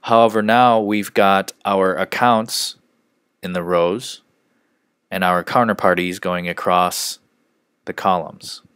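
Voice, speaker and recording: Male software trainer, low register, measured instructional screencast delivery, dry studio-style sound. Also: steady tone, clean tone, no echo. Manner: measured, step-by-step explaining.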